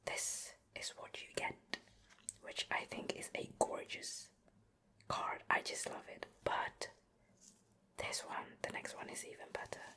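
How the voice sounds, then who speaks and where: A woman hosting an ASMR show and tell in a soft, breathy whisper, chatting casually and unhurriedly close to the microphone. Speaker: ASMR host. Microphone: close ear-to-ear microphone.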